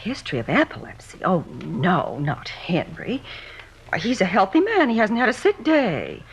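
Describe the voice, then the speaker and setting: An American actress playing an anxious, defensive middle-aged housewife in an old radio drama, indignant and insistent. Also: female voice, mid-range voice, huge pitch swings, normal speed, husky, full character voice. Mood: puzzled, uneasy. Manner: indignant, insistent, questioning back. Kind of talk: 1950s radio drama acting.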